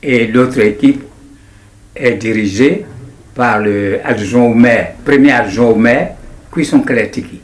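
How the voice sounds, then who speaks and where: A man in his nineties with an Ivorian accent, recounting his memories in an interview in a deliberate, halting way with repetitions. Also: mid-range voice. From Ivory Coast. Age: 90s. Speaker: interviewee, writer. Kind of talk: personal recollection in an interview.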